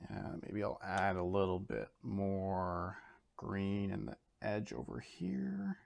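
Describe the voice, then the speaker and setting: Relaxed man demoing watercolour. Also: low-pitched, slow pace, gravelly, muffled recording. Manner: relaxed, talks through steps with many fillers. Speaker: art educator. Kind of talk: casual art demo. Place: home studio, indoors.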